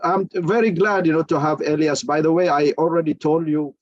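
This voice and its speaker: A man moderating an online forum over a video call, courteous and halting with many filler pauses. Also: on the high side, fairly even intonation, no room sound, highs cut off.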